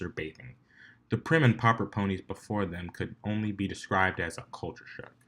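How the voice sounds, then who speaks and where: A man with a fairly low voice reads a story aloud. He delivers it as scripted narration, in the role of a fan fiction reader. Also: fairly bright tone.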